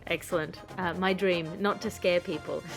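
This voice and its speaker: A woman with a lowish voice hosts a comedy podcast. She is wry and wordy, slipping into mock-formal announcements, with faint music in the recording. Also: steady tone, moderate pace, vocal fry, rough recording. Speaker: podcast host. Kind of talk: comic magazine-show hosting and banter.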